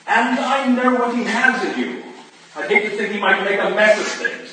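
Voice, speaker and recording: A man playing a teasing, idle gentleman and charming flirt, wry and playful, in a staged reading of a period drama. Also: high voice, lively intonation, normal speed. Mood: wry, mock wounded. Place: staged reading in a large echoey room. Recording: echoey hall from far off, faint noise.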